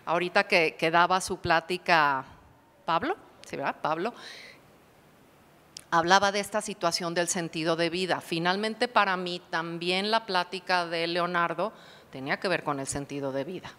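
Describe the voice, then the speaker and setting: A woman's voice: fairly low pitch, moderate pace, bright, forceful tone. A woman psychotherapist giving a conference lecture, explaining thoughtfully and posing rhetorical questions to the audience. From Mexico. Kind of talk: academic conference talk. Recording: long hall reverb.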